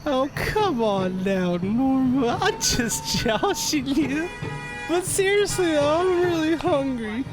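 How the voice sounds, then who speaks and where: A woman's voice: sits high, lively intonation, slow pace, music in the background. A woman playing a childish, joking detective sidekick in an audio drama, with a goofy, drawling manner. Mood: joking, whining. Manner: jokey, drawling, giggly. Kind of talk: audio drama comic acting.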